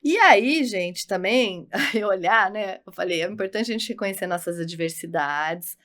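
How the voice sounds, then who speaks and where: A woman's voice: mid-range voice, moderate pace, a bit bright. A middle-aged woman with a Brazilian accent, talking as a guest in an emphatic, conversational, explanatory way. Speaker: podcast guest, consultant.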